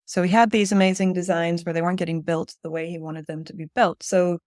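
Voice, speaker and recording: Female interview guest from Spain, forties, low-leaning pitch, conversational, dry close recording. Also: flat delivery, fast, a little mellow, dry recording. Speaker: interview guest, director at a design-build firm.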